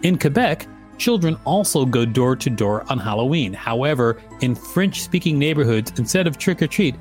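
A male podcast host reading an article aloud in an irreverent, teasing way. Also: medium pitch.

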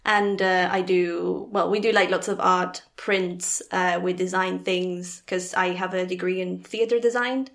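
A guest from Argentina who works as an actor, talking casually with lots of fillers and frequent laughter. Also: female voice, on the low side, fairly even intonation, unhurried, creaky, hardly any pauses, a bit bright. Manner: chatty, laughs often, rambling with fillers. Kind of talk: casual chat.

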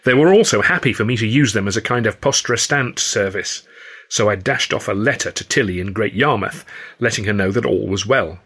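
A man's voice: fairly low pitch, a little fast, a bit bright, slightly rough. A man narrating his own first-person novel as an audiobook.

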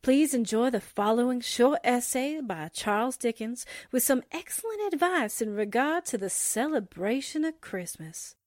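A woman's voice: on the high side, animated, normal speed, few pauses, a little mellow. A woman presenting for a radio drama cast in a scripted, read-aloud style. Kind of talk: scripted holiday greeting and essay reading.

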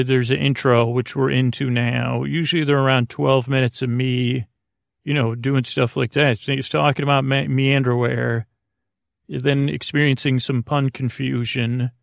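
Creaky-voiced man rambling a bedtime monologue. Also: medium pitch, no echo, studio quality. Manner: meandering, halting, trails off into tangents. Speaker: podcast host.

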